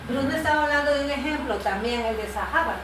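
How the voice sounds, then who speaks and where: A woman's voice: high-pitched, fast talker. A woman who works as a missionary Bible translator, patiently explaining her work in a conversational interview, with fillers and self-corrections. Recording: roomy, distant mic with background noise.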